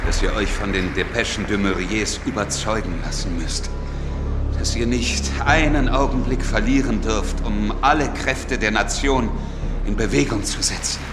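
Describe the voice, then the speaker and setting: A man's voice: lowish voice, normal speed, lightly acted. An actor playing a grave revolutionary statesman addressing a convention with emphatic oratory in a radio drama. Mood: urgent oratory. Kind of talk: German radio drama acting. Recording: echoey large hall, crowd and music.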